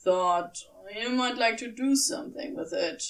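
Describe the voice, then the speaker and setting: A woman's voice: a little high, measured pace, recorded from a distance. A woman voicing a wry, nonchalant male demon with a curt manner in an audiobook story. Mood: offhand, threatening. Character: offhand, wry male demon, grudgingly fond.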